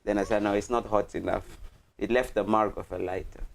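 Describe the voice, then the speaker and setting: A man's voice: lowish voice, fairly even intonation, brisk. A young man from Congo, a student guest telling anecdotes casually in a playful, joking way. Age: young adult. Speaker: student guest on a talk show. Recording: clearly audible background noise.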